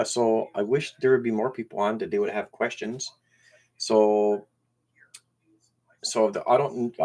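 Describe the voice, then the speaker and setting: A man with a strong Newfoundland accent, hosting a casual live stream and chatting in a halting way, often repeating words and restarting. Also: fairly low pitch, darkish tone. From Newfoundland, Canada. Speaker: YouTube channel host. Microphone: camera used as webcam.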